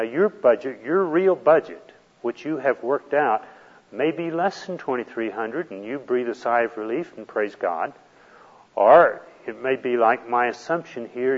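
A man's voice: medium pitch, long reverb tail, dull audio. A man teaching a retirement planning class, explaining step by step in a patient, plain way. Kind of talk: classroom lecture.

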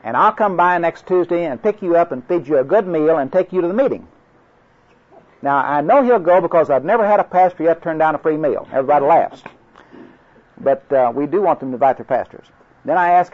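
A man's voice: on the high side, lively intonation, quick pace. An older man from Oklahoma with an Oklahoma accent, giving a folksy, joke-filled training talk to class instructors, rambling through anecdotes with many restarts. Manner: storytelling, joking, drawling, rambling restarts. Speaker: evangelistic crusade class instructor. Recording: dull lo-fi recording.

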